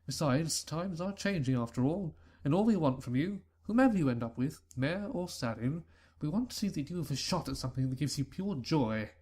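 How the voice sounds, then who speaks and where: A man's voice: fairly high pitch, expressive, a little fast, darkish tone, husky, pitched above the performer's own voice. Man doing an earnest, encouraging father stallion. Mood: understanding.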